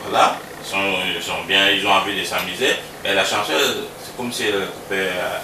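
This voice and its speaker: A man from Congo, a musician, answering interview questions in a relaxed, halting way that repeats words. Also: low-pitched. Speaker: musician guest. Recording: roomy sound from a distance with background noise.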